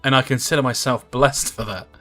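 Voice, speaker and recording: Chatty, bright-voiced man in his thirties. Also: mid-range voice, steady tone, brisk, soft background music. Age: 30s. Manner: rambling, chatty, filler-heavy, reads out and answers viewer comments. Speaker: livestreamer. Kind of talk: casual livestream chat while gaming.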